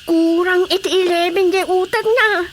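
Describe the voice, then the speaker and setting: A woman's voice: extremely high pitch, fairly even intonation, moderate pace, a little hiss or hum. A woman playing a talkative, exclaiming older village gossip with an Ilocano accent in a radio drama comedy. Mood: gossipy, animated.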